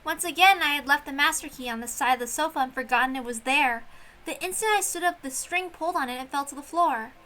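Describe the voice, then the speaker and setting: A woman reading a visual novel aloud in expressive story narration. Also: high voice, fast talker.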